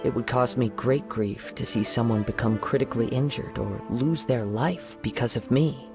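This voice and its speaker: An actor playing a calm, courteous young samurai in a game, speaking in a formal, soft-spoken way. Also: male voice, mid-range voice, moderate pace, natural voice. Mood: composed. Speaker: wandering samurai. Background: game music.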